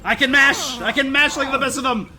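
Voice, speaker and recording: High-pitched male game streamer. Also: fairly quick, pushed and bright. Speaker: game livestreamer. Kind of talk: casual live gameplay commentary. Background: game music.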